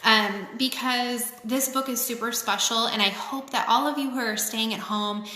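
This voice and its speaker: A woman with a fairly high, clearly bright voice reads a story aloud to young children. She speaks expressively in simple phrases and drops in chatty asides. The room rings with noticeable echo around her voice. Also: steady tone, normal speed. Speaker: children's author reading her own book. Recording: echoey, reverberant room.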